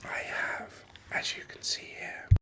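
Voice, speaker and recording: A man hosting a gaming video in a breathy ASMR whisper, rambling along unhurriedly close to the microphone. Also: a little slow. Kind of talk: ASMR whispered game commentary.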